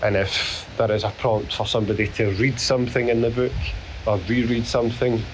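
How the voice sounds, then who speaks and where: A middle-aged Scottish man with a hoarse voice, hosting his podcast as a rambling spoken diary while walking on a beach. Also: mid-range voice, little pitch movement, poor audio. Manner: rambling, self-deprecating, halting. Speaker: podcast host. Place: outdoors on a beach. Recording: outdoor recording with clear background noise.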